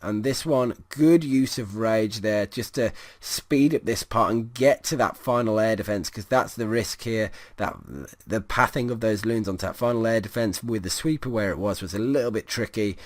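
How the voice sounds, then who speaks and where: A hoarse man commentating on a strategy game, his voice sitting lower than usual as it starts to give out. He talks casually and rambles, with plenty of ums and restarts. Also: moderate pace, hardly any pauses. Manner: rambling, many fillers and restarts.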